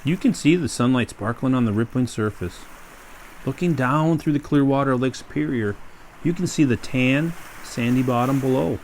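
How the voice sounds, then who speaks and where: A park ranger reading a sensory story aloud to young children, gently asking them questions as he goes. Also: medium pitch, moderate pace, a little hiss or hum.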